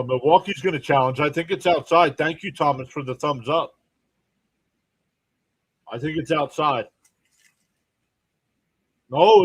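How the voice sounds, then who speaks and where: A man's voice: fairly high pitch, a bit bright, breathy edge. A man hosting a live baseball stream, mixing clipped play-by-play calls with informal fan asides and chat to viewers. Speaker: livestream play-by-play host and fan.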